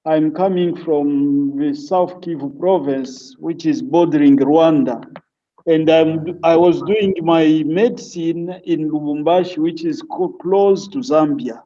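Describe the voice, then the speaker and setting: A man's voice: a little high, takes it slowly, warm tone. A middle-aged man with a Congolese accent, a family physician recounting his career as an interview guest over an internet call. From Democratic Republic of the Congo. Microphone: online call on a weak connection. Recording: muffled, distant internet call audio.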